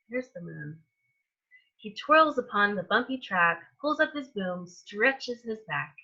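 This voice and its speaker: A woman reading a picture book aloud to young children as a storytime host, in an expressive manner that stretches out words and softens endings. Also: medium pitch, highs cut off. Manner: animated, with drawn-out words and hushed endings.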